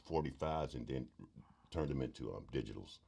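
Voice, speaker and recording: A middle-aged man from Arkansas with a very deep gravelly voice, a musician guest telling stories in casual chat. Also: normal speed. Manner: animated storytelling with sudden loud outbursts.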